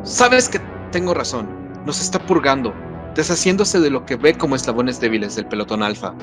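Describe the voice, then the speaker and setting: A man's voice: medium pitch, lively intonation, moderate pace, over music, lightly acted. A man playing an anxious, earnest soldier in an audio drama, troubled and hesitant as he confides in his sergeant. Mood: urgent. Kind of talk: Spanish-language audio drama acting.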